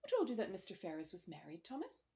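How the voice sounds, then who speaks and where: A woman's voice: on the low side, wildly swooping pitch, fast, vocal fry, warm tone, heavily acted. A woman voicing a genteel middle-aged mother who asks anxious, polite questions in a storybook reading. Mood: anxious, inquiring. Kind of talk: storybook character dialogue.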